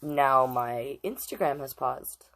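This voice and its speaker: A woman's voice: rumbling low, takes it slowly, a little hiss or hum. A woman hosting a storytime, reading a children's picture book expressively with chatty asides to her viewers. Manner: expressive, chatty, addresses live viewers between passages. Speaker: storytime host and drag king reader.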